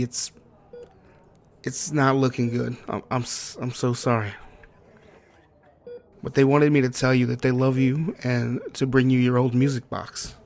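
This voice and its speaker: A man acting as a grieving, guilt-ridden partner in an intimate audio roleplay, speaking tenderly and haltingly to a sweetheart. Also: medium pitch, close to an everyday voice. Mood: halting, apologetic. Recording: clearly noisy track with ambience.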